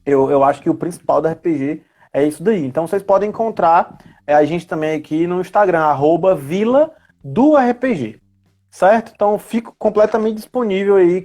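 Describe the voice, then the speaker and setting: A man's voice: a little high, darkish tone. A man speaking as a guest in a casual live chat, informal, repeating phrases and adding frequent tag questions. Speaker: guest, RPG educator. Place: remote live video chat on social media.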